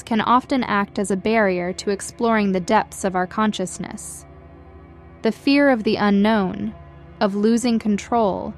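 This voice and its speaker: A woman reading a scripted guided meditation in a gentle, soothing, measured way, as a guide leading listeners. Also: medium pitch. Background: background music.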